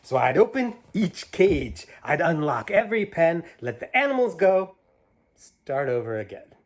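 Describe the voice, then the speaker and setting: A man voicing an eager young boy from a children's picture book, boasting excitedly in sing-song rhyme. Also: a little high, a little slow, a little hiss or hum, pitched above the performer's own voice. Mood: excited, boastful. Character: eager young boy dreaming up his own zoo.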